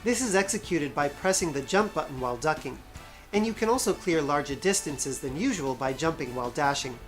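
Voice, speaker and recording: Low-pitched woman, wry game review narration. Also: animated, fairly quick, soft background music. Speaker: video game reviewer, show host.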